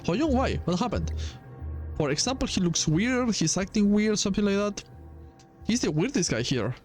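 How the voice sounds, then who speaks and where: Man voicing high-pitched gossipy high school students. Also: very animated, moderate pace, faint music behind. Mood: puzzled, suspicious.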